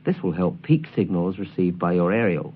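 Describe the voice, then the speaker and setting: Male presenter for scripted how-to narration; animated intonation, somewhat deep, slightly rough-edged voice, didactic delivery. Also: moderate pace, narrow, tinny audio. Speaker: presenter of a shortwave listening guide.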